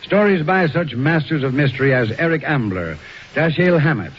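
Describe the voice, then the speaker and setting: A man's voice: on the high side, lively intonation, normal speed, husky. A man announcing formally in the style of 1940s radio. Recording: dull, noisy old radio broadcast recording.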